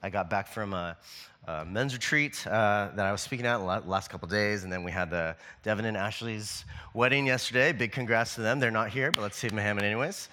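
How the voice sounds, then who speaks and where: Male pastor, scratchy, bright edge; relaxed, rambling preaching style. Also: on the low side, a little fast. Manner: casual, loose delivery with many fillers and restarts. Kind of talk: conversational sermon.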